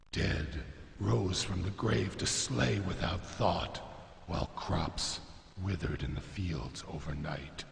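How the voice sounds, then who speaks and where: An actor playing a grave, solemn storyteller in a fantasy game cutscene, speaking in a portentous, measured, gravelly way with a reverberant echo. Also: male voice, sits low, exaggerated voice. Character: grave male fantasy narrator, solemn war-council storyteller. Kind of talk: dramatic scripted game cutscene narration. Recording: reverberant hall echo, faint noise.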